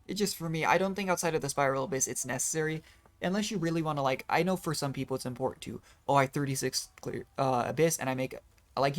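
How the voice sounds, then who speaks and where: A game streamer rambling casually through gameplay commentary, thinking aloud in a self-deprecating way. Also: medium pitch. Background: video game music.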